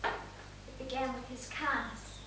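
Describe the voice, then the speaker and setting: Fragile, haunted young woman played by an actress for a stage drama; high, halting, theatrical; heard from far off in a reverberant theatre. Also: level tone. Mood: wistful, reflective. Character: fragile, troubled young woman recounting last summer's trauma. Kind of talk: amateur stage play acting. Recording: echoey theatre stage, distant mic, noisy.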